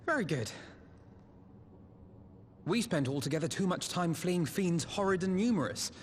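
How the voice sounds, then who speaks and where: An actor playing a refined scholarly adventurer in a fantasy game, formal, articulate and archaic in phrasing. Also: male voice, high-pitched, lively intonation, moderate pace, slight background noise. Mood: composed, curious.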